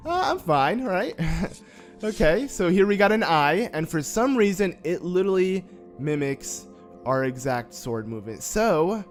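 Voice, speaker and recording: A young man chats his way through a video game with a slightly raspy, somewhat high voice, teasing and joking at his own expense. Soft game music plays faintly beneath him. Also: very animated, unhurried. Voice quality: slightly raspy from just waking. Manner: joking, self-mocking, chatty with frequent fillers. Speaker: gameplay commentator. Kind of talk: casual let's-play commentary.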